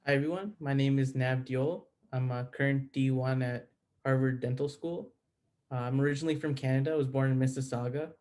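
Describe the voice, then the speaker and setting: A man's voice: medium pitch, steady tone, brisk, darkish tone. A young man, a dental student, introducing himself briefly and matter-of-factly on a panel. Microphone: video call.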